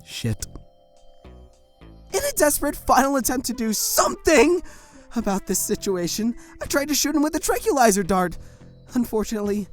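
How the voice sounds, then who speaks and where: Woman narrator, first-person audio drama, tense delivery, faint music behind. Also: on the high side.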